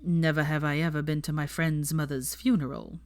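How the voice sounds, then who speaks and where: A woman voicing a curious, blunt, deadpan young male wizard in a story reading. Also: low-pitched, normal speed, lightly acted.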